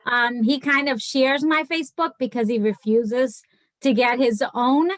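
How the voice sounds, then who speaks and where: A woman's voice: high voice, fairly even intonation, a little slow, dry recording. A woman in her forties from Portugal with a Portuguese accent, chatting on a video call from a room at home and telling her story with many fillers and laughs. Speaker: guest speaker on a team video call. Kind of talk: casual personal story.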